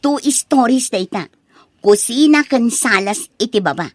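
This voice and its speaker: A woman's voice: fairly high pitch, animated, a little slow, dry recording, studio quality. A woman playing a vain, money-minded young village bride-to-be in a comic radio drama, with an Ilocano Filipino accent. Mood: boastful. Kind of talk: comedic radio drama acting.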